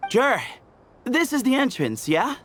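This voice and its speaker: A man's voice: very high-pitched, sing-song, unhurried, fairly bright tone, slight background noise, exaggerated voice. A man voicing an easygoing young team leader in a video game, breezy and wry. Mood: breezy, confident. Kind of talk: video game character acting.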